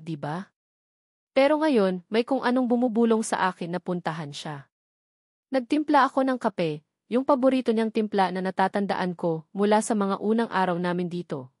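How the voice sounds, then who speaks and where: A proud young wife in a romance audio drama, acted by a woman narrator. She is hesitant yet protective, speaking at medium pitch in a dry recording. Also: a little mellow, no room sound, close to an everyday voice.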